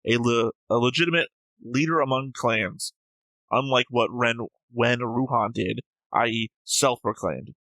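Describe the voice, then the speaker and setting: Bright-voiced man, argumentative and digressive. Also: medium pitch, measured pace, dry recording, rough recording. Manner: argumentative, digressive, self-correcting. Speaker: reaction show host. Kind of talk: casual discussion, reading out viewer comments.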